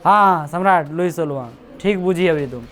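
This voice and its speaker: A woman's voice: fairly low pitch, normal speed. Hindi woman teacher. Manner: keeps checking understanding, repeats dates, teasing asides. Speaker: coaching class teacher. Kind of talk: classroom lecture with questions to students. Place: classroom.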